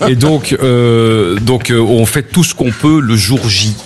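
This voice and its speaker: A man's voice: mid-range voice, takes it slowly. A male guest on a radio interview, explaining his work in a chatty way full of fillers. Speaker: TV comedy show producer. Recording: faint background noise.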